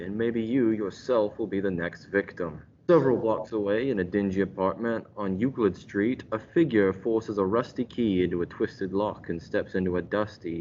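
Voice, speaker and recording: A young man playing an ominous, melodramatic announcer, narrating a radio mystery in a deliberate, dramatic way. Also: a little mellow, close to an everyday voice. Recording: dull lo-fi recording with rumbling noise.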